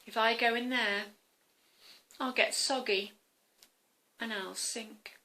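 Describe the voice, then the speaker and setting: Welsh cake woman voicing: small, jumpy, shrill, always afraid of falling. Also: fairly even intonation, slow pace, bright, forceful tone, full character voice. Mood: worried. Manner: squealing, fearful, defiant. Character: small panicky runaway Welsh cake, boy-like.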